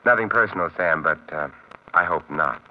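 An actor playing a suave, wry amateur detective with smooth, urbane, drily teasing delivery in 1950s radio drama style. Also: male voice, sits low, normal speed, loud music behind the voice, natural voice. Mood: cool, businesslike. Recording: muffled vintage radio recording.

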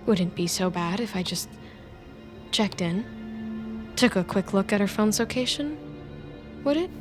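A woman's voice: medium pitch, lively intonation, a little slow, natural voice. A woman acting in audio fiction as an anxious, guilt-ridden, obsessive office worker who rambles hesitantly and apologetically. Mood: brooding, self-justifying. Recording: music bed under the voice.

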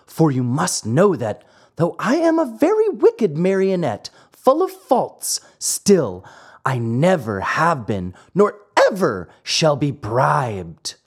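Reader as an earnest, proud puppet boy, indignant, lively. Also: medium pitch, slow pace, slightly rough, heavily acted.

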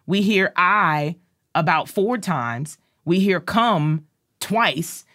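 A woman speaking as an interview guest, animated and expressive. She lifts her voice high whenever she quotes or plays a line, then settles back down. The sound is dry and close, with no room around it. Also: extremely slow, no room sound. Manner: expressive, acts out lines and quotes with rising pitch. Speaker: actor and musician guest.

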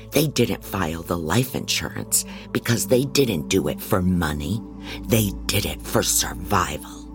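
A woman's voice: rumbling low, exaggerated voice. An actress playing a blunt, disorganized female private investigator, narrating an audio drama in a wry way.

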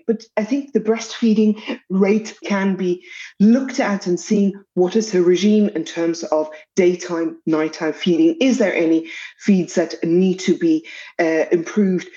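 A woman with a South African accent, calmly explaining infant feeding as a podcast guest, methodically listing factors one by one. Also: medium pitch, dull audio. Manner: methodical, lists factors step by step. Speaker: pediatric dietitian guest. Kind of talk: expert podcast answer.

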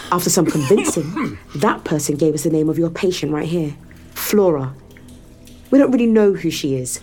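A woman's voice: fairly low pitch, darkish tone, lightly acted. An actress playing a cold, menacing woman interrogator in a science fiction audio drama, controlled and taunting as she lays out her case. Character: cold, menacing woman interrogator in a near-apocalypse sci-fi world.

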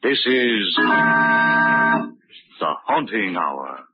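An ominous 1940s radio mystery narrator with a low, sweeping, theatrical delivery. He is solemn and deliberate. The sound is narrow and aged, with music clearly behind him. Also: sing-song, heavily acted. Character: ominous, solemn male host of mystery tales. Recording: thin old radio recording under music.

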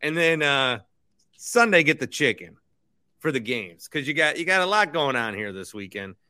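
A man's voice: mid-range voice, sing-song, moderate pace, bright, forceful tone, clean tone. A man co-hosting a casual sports podcast with a dry, joking way of talking. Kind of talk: casual sports chat with sponsor reads.

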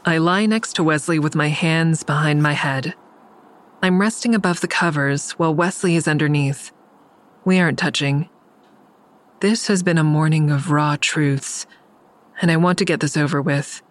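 A woman's voice: deep voice, moderate pace, natural voice. A woman playing a guilt-ridden, weary heroine who narrates her own story in an audio drama, blunt and subdued. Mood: weary, pressing. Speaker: narrator and lead actor. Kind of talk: audio drama first-person narration and dialogue.

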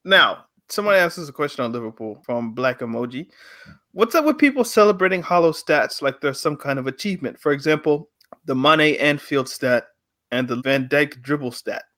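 Bright-voiced joking man. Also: a little high, animated, a little fast. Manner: laid-back, joking, laughs often. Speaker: football podcast co-host. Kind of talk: casual football chat.

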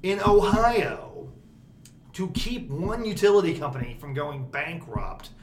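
A man hosting a podcast about energy policy, talking casually with a loud, projecting voice and plenty of emphasis. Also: on the high side, expressive, unhurried. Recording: roomy echo, faint background noise.

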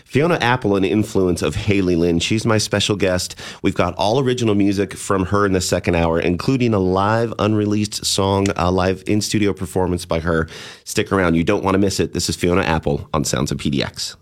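Very deep-voiced male radio host. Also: a little fast. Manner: relaxed, with many "uh" fillers. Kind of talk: casual radio show chat.

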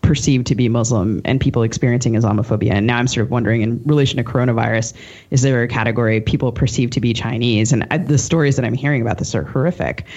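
Mid-pitched man interviewing, slightly dull audio. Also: fast, darkish tone. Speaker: podcast co-host interviewer. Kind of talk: conversational interview questions.

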